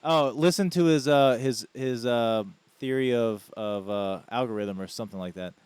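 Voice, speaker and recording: Smooth-voiced man telling slangy stories. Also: mid-range voice, moderate pace, dry recording. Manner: animated storytelling, slangy, says "dude". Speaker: podcast co-host. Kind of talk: casual podcast chat.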